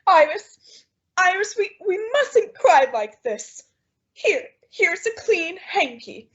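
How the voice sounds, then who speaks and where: A melodramatic housewife played by a woman with a very high voice, effusive and doting. She speaks in the broad manner of an old radio comedy, at a brisk clip, on a slightly dull recording. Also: very animated, a bit bright, heavily acted. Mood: bravely composed. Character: emotional, dramatic, doting young-ish housewife. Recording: dull, lo-fi audio drama recording.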